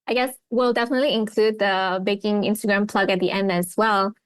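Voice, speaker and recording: A woman's voice: medium pitch, steady tone, fairly quick, smooth, no echo. A young woman hosting a podcast interview, chatty and friendly, with many fillers. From Malaysia. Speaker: podcast host. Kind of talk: casual interview chat.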